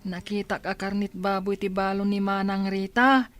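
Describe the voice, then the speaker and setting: An actress playing a pleading, dutiful school-age child who is eager to study, in a Filipino radio drama. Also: female voice, medium pitch, fairly even intonation, normal speed, no room sound, faint music behind, lightly acted. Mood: meek, dismayed.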